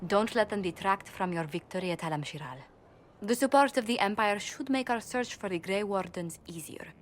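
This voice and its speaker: An actress playing a brisk, diplomatic advisor in a video game, speaking with polite, businesslike firmness. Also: female voice, medium pitch, expressive, slight background noise, lightly acted. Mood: firm, businesslike. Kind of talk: dramatic video game dialogue.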